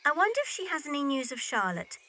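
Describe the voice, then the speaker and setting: Bright, high-voiced young heiress, played by an actress. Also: female voice, big pitch swings, quick pace, slight background noise, heavily acted. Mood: composed. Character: young modern heiress, decided, coolly composed. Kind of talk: audio drama dialogue.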